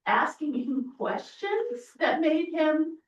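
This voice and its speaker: A woman's voice: sits high, big pitch swings, slow pace, slight background noise. A woman moderating a panel, asking searching questions. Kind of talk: panel discussion. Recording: dull, roomy, far from mic.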